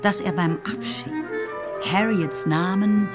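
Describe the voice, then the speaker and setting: A woman narrating a radio play in a measured, even storytelling manner. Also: medium pitch, loud music behind the voice.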